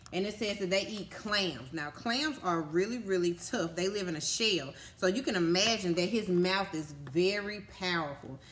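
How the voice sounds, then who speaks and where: A woman's voice: medium pitch, fairly bright tone. A woman reading a picture book aloud to young children as a teacher, explaining simply and often stopping to ask check-in questions. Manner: instructive, simple child-directed phrasing with frequent check-in questions.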